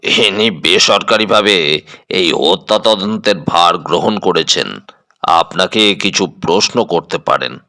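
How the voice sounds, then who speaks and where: A man playing an authoritative, formal police inspector in a detective audio drama, speaking in a measured, official manner. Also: deep voice, sharp tone, voice pushed down, heavily acted. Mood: stern.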